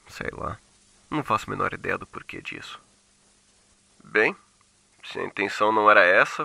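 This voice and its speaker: A man's voice: fairly low pitch, creaky, fairly bright tone, no echo, full character voice. A Brazilian man playing a frightened young captive who gives an anxious, colloquial first-person testimony in a horror audio drama. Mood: resigned despair. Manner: anxious, colloquial confessional telling.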